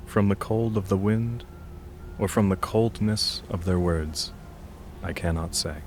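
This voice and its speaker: A man's voice: sits low, measured pace, darkish tone, slight background noise. A man narrating an audio drama as a grave, solemn storyteller with an ominous manner.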